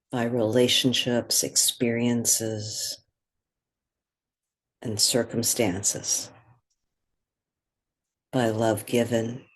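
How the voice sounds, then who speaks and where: A meditation guide speaking gently and in a measured way, leaving long pauses between phrases. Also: medium pitch. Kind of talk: guided meditation, soothing spoken instruction.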